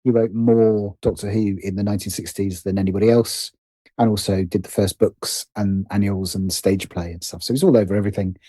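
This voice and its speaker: A man's voice: on the low side, fairly even intonation, brisk, mellow, no echo. A British man speaking as a podcast guest in casual conversation, hesitant and thoughtful as he circles around his points. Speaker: podcast guest, writer and producer.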